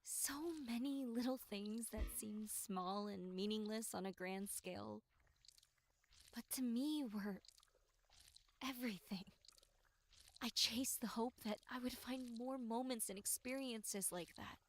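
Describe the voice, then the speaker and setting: Horror game heroine, female actor: fairly high, earnest girl, halting and wistful, holding on to hope. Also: slight background noise. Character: earnest suffering girl, wistful yet hopeful. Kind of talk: horror visual novel voice acting.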